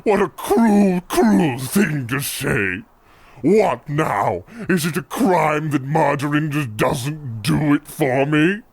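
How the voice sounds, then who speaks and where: A man's voice: fairly high pitch, lively intonation, takes it slowly, creaky, slightly rough, no echo, heavily acted. A man playing a heartless, miserly old landlord who taunts theatrically in an old-time radio comedy parody. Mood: indignant.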